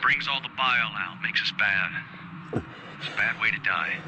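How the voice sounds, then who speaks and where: Bitter astronaut over noisy radio. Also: male voice, lowish voice, expressive, moderate pace, muffled recording. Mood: bitter, confessing. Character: bitter, sardonic doomed astronaut Applegate, confessing and needling. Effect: radio intercom filter. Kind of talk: radio drama acting. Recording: very noisy spaceship radio link.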